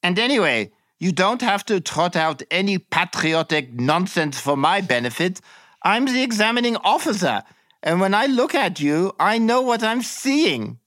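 A man's voice: high voice, big pitch swings, moderate pace, a bit bright, dry recording, studio quality, voice pushed up. A man voicing a smug military interrogating officer who coaxes and threatens a prisoner. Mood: menacing. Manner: coaxing then threatening. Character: smug wheedling military examining officer, menacing.

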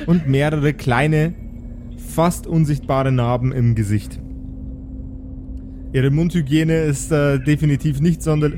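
A man hosting and narrating an improvised tabletop audio drama as game master, measured and descriptive. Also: mid-range voice, mellow, slight background noise. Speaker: game master and podcast host.

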